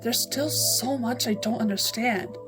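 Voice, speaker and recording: Actress as stubborn, withdrawn young woman, fairly high voice, earnest drama, music clearly behind. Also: a little slow, lightly acted. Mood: guarded. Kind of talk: dramatic comic dub acting.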